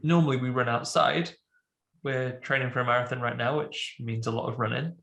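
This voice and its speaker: A man's voice: medium pitch, steady tone, brisk, vocal fry, a bit bright, echoey room. A man co-hosting a podcast, chatting casually and a bit snuffly from a cold, often restarting his sentences. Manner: rambling, self-interrupting. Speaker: podcast co-host. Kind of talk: casual chat.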